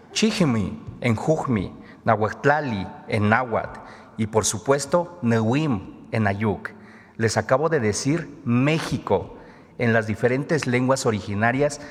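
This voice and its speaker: A man giving a formal, solemn address at a government press conference. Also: medium pitch. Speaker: government spokesman presenting a segment. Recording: long hall reverb.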